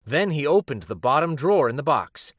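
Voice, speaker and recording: A man reading a children's picture book aloud as a storyteller, with an unhurried, expressive delivery. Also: on the high side, dry recording, clean studio sound.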